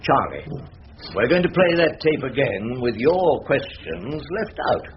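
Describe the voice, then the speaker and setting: A man's voice: mid-range voice, very animated, unhurried. An actor playing a wily defence barrister in a radio courtroom drama, sarcastic, pompous and needling as he cross-examines. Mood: sardonic, needling. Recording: dull lo-fi radio recording, faint noise.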